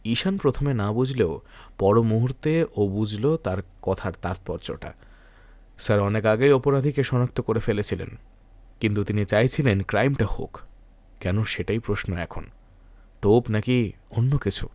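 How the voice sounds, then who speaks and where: A man's voice: on the low side, normal speed, a little mellow, no echo. A man narrating a scripted detective thriller in a literary, dramatic storytelling manner.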